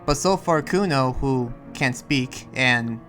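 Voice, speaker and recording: A man playing a friendly, earnest townsman in an improvised anime game roleplay, explaining himself with hesitant, rambling pauses, with music behind him. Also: medium pitch, fairly bright tone. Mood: patient, defensive. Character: friendly, earnest demon-sheltering townsman who senses lies.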